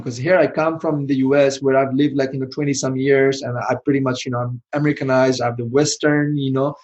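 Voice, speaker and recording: A man's voice: medium pitch, a little mellow, muffled recording. A middle-aged man from Bangladesh answering interview questions in a loose, rambling way, full of "you know" and restarts. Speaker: podcast guest, IT manager and founder.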